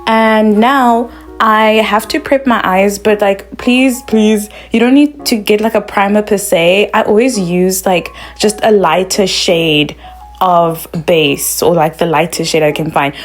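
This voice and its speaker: A woman's voice: medium pitch, unhurried, faint music behind. Bubbly chatty young woman from South Africa. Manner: bubbly and chatty, lots of "like" fillers. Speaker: makeup vlogger. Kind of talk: casual makeup tutorial.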